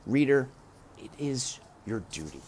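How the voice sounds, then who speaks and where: A man reads a children's novel aloud at an unhurried pace, with a lively, expressive pitch. He lingers on dramatic moments and pauses to speak directly to his listeners. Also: a little hiss or hum. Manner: dramatic, addresses listener directly, slow and deliberate. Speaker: reader.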